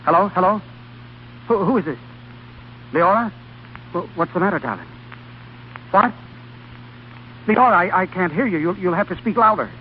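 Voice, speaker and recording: An actor playing an earnest, emotionally intense doctor and devoted husband, hesitant and stammering, in an old-time radio drama. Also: male voice, high voice, lively intonation, moderate pace, pauses often, a little hiss or hum. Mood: urgent, alarmed. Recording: dull vintage radio recording, faint music.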